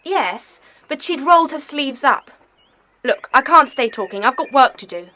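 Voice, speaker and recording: A performer playing a busy, frank young British stable lad in an audio drama, brisk and matter-of-fact. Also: sits high, animated, sharp tone. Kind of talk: children's audio drama acting.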